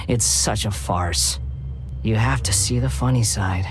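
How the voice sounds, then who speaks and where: A man's voice: fairly low pitch, slow, over music. An actor playing a mocking, cruel young male villain in a video game cutscene, with a theatrical, taunting manner. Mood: gleeful, taunting.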